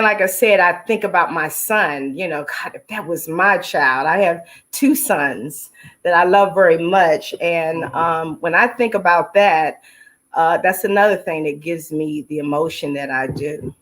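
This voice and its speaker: A middle-aged woman with a mid-pitched voice speaks as an interview guest. She is heartfelt and emphatic, testifying in repeated, preacher-like phrases. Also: fairly bright tone. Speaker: stage play actress and singer, interview guest. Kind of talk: personal, testimonial interview answers.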